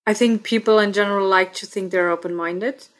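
A young woman from Austria speaking English with an Austrian accent, her first language being German, talking through her thoughts in a relaxed interview and laughing often. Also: medium pitch, fairly quick. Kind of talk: casual conversation.

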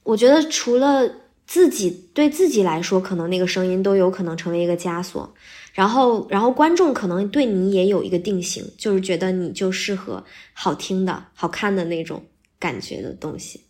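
A woman's voice: medium pitch, animated, moderate pace, a little mellow. A woman guest on a podcast, a voice actor, chatting casually in a candid, self-effacing way. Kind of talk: casual interview chat.

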